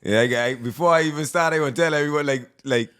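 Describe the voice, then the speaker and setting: A man's voice: a little high, fast, fairly bright tone, clean tone, no echo, clean studio sound. A Bahamian man co-hosting a podcast, talking emphatically and casually, repeating his phrases. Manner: emphatic, repeats "real talk". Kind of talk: casual chat.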